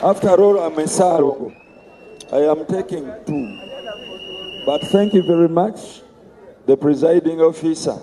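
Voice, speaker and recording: A hoarse middle-aged man, giving an emphatic campaign speech to a party conference. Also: a little high, background noise. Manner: slow, emphatic orator. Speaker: candidate for party office.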